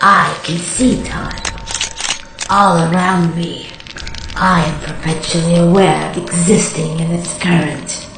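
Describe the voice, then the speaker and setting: A woman playing an eerie, detached superhuman oracle, speaking solemnly and deliberately in a comic drama. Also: fairly low pitch, fairly even intonation, gravelly. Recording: echoing voice over music.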